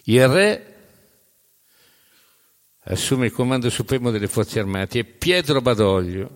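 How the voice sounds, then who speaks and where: A man narrating a scripted historical story in a deliberate, dramatic way, with emphatic pauses. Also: mid-range voice, animated. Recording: long hall reverb.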